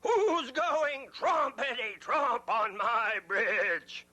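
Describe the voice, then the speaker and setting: A man voicing an angry, bossy bridge troll who yells drawn-out threats. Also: very high-pitched, soft background music, voice pushed up, full character voice.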